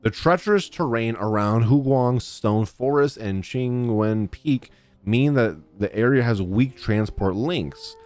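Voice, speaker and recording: A man's voice: medium pitch, unhurried, no echo. A young man streaming a video game, reacting casually and reading aloud in an informal way. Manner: informal, animated. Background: game music.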